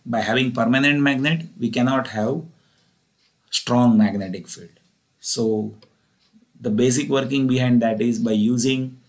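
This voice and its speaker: A man lecturing as an engineering teacher, explaining step by step and often repeating himself. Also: medium pitch.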